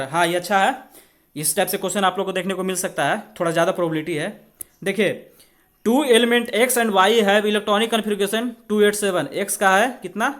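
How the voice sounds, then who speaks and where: High-pitched Indian man teaching. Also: a bit bright. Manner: conversational, checks in with rhetorical questions. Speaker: exam coaching teacher. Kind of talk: spoken maths and chemistry tutorial.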